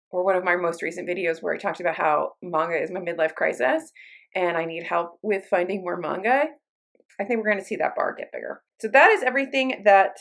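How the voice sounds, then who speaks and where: A woman's voice: on the low side, creaky. A woman talking casually to her viewers as a book reviewer, in an informal, conversational way with self-interruptions. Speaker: book reviewer, video channel host. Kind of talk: casual chatty vlog talk to viewers.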